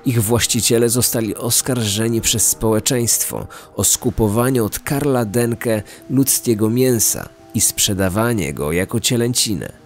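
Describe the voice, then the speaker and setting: A man's voice: medium pitch, measured pace, few pauses, soft background music. A man delivering scripted documentary narration as the host of a true-crime podcast.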